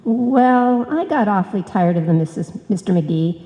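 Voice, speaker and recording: A woman's voice: mid-range voice, lively intonation, moderate pace, mellow, natural voice. An actress playing a sweet, naive, scatterbrained young woman in an old-time radio comedy. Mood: cheerfully naive. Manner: naive, guileless. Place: live stage performance in a hall. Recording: live recording in reverberant hall.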